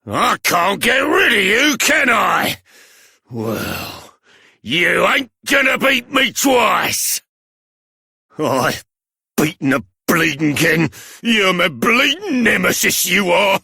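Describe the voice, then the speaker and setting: Shrill raspy bandit boss, working-class English. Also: male voice, very animated, takes it slowly, pushed and bright, no room sound, studio quality, heavily acted. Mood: menacing, exasperated. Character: brash male bandit boss, blustering, cowardly when beaten. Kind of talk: theatrical video game voice acting.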